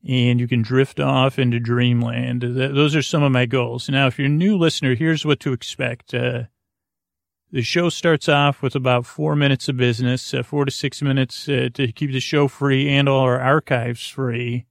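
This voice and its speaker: A man with a creaky voice hosting a sleep podcast, wandering through a soothing, hesitant monologue full of tangents. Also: mid-range voice, steady tone, normal speed, no echo, studio quality. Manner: meandering, hesitant, full of tangents and trailing restarts; soothing, lulling. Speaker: podcast host. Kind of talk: rambling bedtime monologue to lull listeners to sleep.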